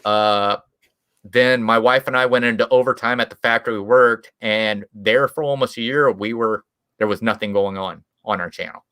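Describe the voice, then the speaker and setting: A man's voice: lowish voice, steady tone, moderate pace, bright, forceful tone, clear, resonant tone. A man with a Midwestern American accent, a guest on a live stream, telling rambling stories in casual chat with plenty of restarts. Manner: rambling, chatty storytelling with frequent restarts and chuckles. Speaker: guest YouTube creator.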